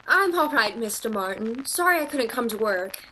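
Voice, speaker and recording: An actress playing a proud, dreamy young female shop clerk in a radio play, earnest and expressive with a haughty edge. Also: on the high side, normal speed, background noise, lightly acted. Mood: subdued.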